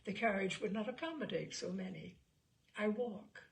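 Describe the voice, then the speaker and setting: Period audiobook role: woman narrator as polite young gentlewoman, composed, quietly firm, creaky. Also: medium pitch, normal speed, close to an everyday voice.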